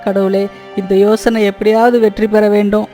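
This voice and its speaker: Poor honest labourer for a cartoon fable, male actor: soft dark tone, flat melody, deferential, pleading. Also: high-pitched, normal speed, faint music behind, natural voice. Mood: sorrowful, humble. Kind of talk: Tamil cartoon moral story acting.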